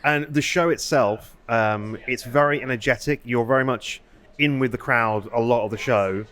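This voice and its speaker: A man's voice: mid-range voice, normal speed, a bit bright. A man hosting a podcast, asking long rambling questions in a casual, friendly chat. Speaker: podcast host.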